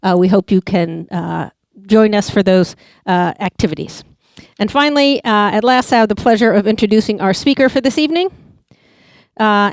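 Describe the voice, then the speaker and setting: A woman's voice: on the high side, clean tone, no room sound. A woman hosting an event, reading announcements and introducing the speaker, hesitant with frequent fillers. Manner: hesitant, with many uhs. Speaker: event host.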